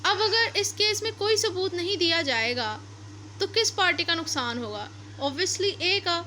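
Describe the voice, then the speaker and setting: A woman's voice: extremely high pitch, animated, measured pace, sharp tone, a little hiss or hum. A woman teaching law, reading passages aloud and then explaining them step by step, repeating and rephrasing her points. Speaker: law lecturer.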